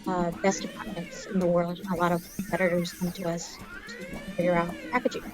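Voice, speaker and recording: A woman's voice: on the low side, wildly swooping pitch, a little fast. A young woman engineer presenting on a video call in a casual, chatty way, laughing easily. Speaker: engineer presenting on a career panel. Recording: music playing under voice. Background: video soundtrack music.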